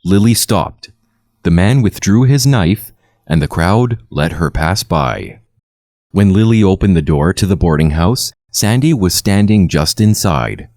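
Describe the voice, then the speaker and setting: Low-voiced male storyteller, expressive and measured. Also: no room sound, studio quality. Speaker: storyteller, narrator. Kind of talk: scripted bedtime horror story narration.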